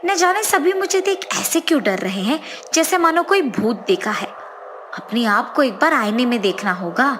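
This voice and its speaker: A woman's voice: high voice, animated, normal speed, fairly bright tone, a little hiss or hum. A woman voicing the anxious, remorseful ghost of a dead wife in a cartoon story, earnest and pleading. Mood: puzzled, alarmed.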